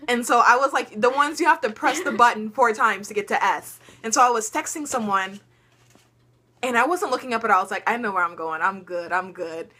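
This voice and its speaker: A teenage girl chatting on camera at home, her voice bright and forward. She tells stories in a loose, chatty way, laughing often and filling gaps with ums. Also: on the high side, fairly quick. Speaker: vlogger. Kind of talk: casual storytelling chat.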